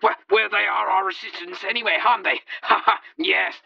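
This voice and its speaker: A teenage male performer voicing a blustering, impatient older police chief with a gravelly, raspy voice. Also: sits high, fairly even intonation, normal speed, dry recording, lo-fi sound, voice pushed up, full character voice. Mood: sheepish, blustering. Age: teens.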